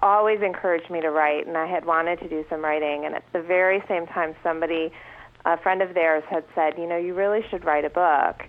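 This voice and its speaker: A woman's voice: on the low side, steady tone, fairly quick. A woman guest, a writer, explaining how a book came about. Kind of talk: phone interview. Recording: tinny phone line.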